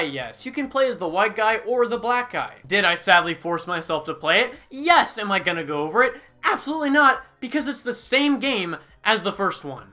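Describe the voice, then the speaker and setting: Bright, sarcastic game-review narrator. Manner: sarcastic, mocking, with sudden loud jumps in pitch. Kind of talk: comedic game review voice-over.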